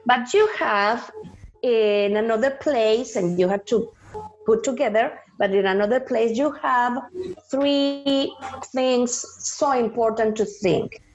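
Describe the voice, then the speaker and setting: A slow, deliberate Mexican-accented woman whose first language is Spanish, talking as an actress in a podcast interview. Her voice sits fairly high, and she gropes for English words and drops into Spanish phrases. The sound is dull and a little lo-fi.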